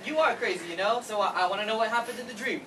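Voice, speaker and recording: A woman's voice: mid-range voice, rapid-fire, natural voice. A woman in a staged play reading, playing a teasing eighteen-year-old sibling. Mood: curious. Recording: distant, noisy live stage recording.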